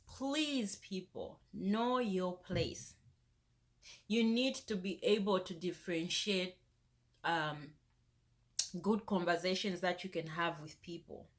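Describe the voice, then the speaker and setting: A young woman, vlogging in a casual, animated rant that turns indignant. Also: lowish voice, slow. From Zambia. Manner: animated, indignant, rises sharply when exasperated. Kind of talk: casual ranting vlog.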